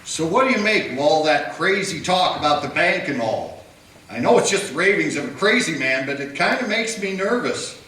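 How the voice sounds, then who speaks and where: A man's voice: on the high side, lively intonation, normal speed, sharp tone. A man voicing a nervous, plainspoken deputy in a radio play. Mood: uneasy. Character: jittery male deputy, plainspoken. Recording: echoey room from a distance, faint noise.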